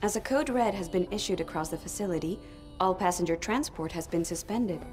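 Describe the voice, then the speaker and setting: A woman voicing a polite, servile robot maid guide in a video game, speaking in a measured, formal announcement style. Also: fairly low pitch, darkish tone, faint music behind, lightly acted. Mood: polite welcome.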